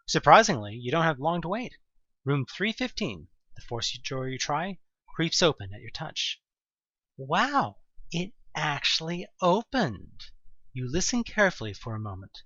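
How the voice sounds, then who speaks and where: A man's voice: mid-range voice, sharp tone, no echo, lo-fi sound. A man narrating a horror audio drama in the second person, with dramatic, wry storytelling.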